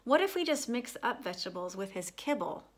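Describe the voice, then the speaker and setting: A woman's voice: on the high side, very animated, fairly quick. A woman voicing an eager, curious little girl who loves science in a storybook, with a keen, emphatic delivery.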